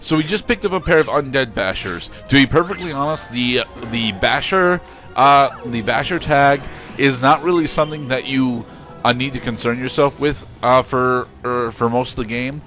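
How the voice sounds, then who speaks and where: A man with a mid-range voice explains a video game as he plays. He speaks haltingly and doubles back on his words. Soft music sits behind him. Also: mid-range voice. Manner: halting, hesitant, with repeated restarts. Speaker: let's play commentator.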